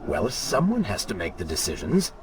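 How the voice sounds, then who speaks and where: A man's voice: sits low, huge pitch swings, moderate pace, noisy background. A man playing a disembodied inner voice for a video game, sardonic, impatient and commanding. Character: disembodied male inner voice, mocking, imperious, impatient. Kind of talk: dramatic video game acting. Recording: game music and effects behind voice.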